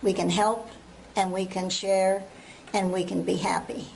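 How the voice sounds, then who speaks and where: A woman's voice: mid-range voice, fairly even intonation, measured pace, creaky, darkish tone, slight background noise, poor audio. An older woman giving an earnest, enthusiastic unscripted testimonial.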